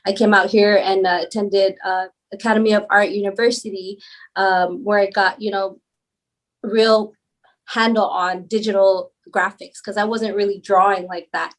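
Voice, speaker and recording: A woman's voice: medium pitch, little pitch movement, normal speed. A woman from Palau, a podcast guest, talking casually and laughing often as she tells her story. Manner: rambling and laughing easily. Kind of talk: casual interview chat.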